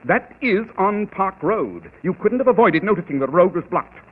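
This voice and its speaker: Arrogant master detective; incisive, husky, old broadcast. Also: male voice, high-pitched, sing-song, heavily acted. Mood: cool. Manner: measured, precise, dryly cutting. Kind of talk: 1940s radio acting. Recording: tinny old radio broadcast.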